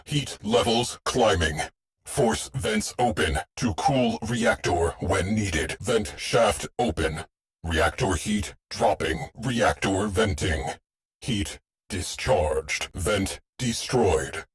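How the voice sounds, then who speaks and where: An actor playing a terse, stoic military ship AI in a video game, giving clipped, deadpan orders in a husky voice. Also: male voice, on the low side. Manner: clipped, deadpan, short imperative phrases. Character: terse, stoic military ship AI, adult male, coldly commanding. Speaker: tactical mission operator. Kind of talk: scripted video game voice lines.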